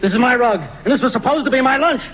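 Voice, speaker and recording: An irascible studio boss in an old radio comedy, barking brusque orders at a rapid clip. His voice sits high for a man, and it comes through a muffled, hissy old recording. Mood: indignant. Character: bossy, irascible male film studio executive.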